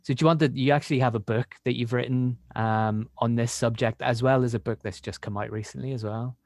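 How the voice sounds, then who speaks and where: A man's voice: mid-range voice, steady tone, a little fast, darkish tone, dry recording. A man hosting a casual conversational interview, asking loosely worded questions in a slightly hesitant way. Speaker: podcast interviewer.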